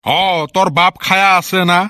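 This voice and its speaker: A man's voice: high-pitched, monotone, a little fast, lightly acted. A man voicing a thrifty, grumbling village father in a comic cartoon, dryly sarcastic and weary of demands. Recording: clearly noisy track with clicks.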